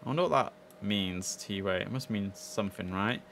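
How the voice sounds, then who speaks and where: Low-voiced young man, chatty. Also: moderate pace, gravelly, fairly bright tone, a little hiss or hum. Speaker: live stream host. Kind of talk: casual gaming stream commentary.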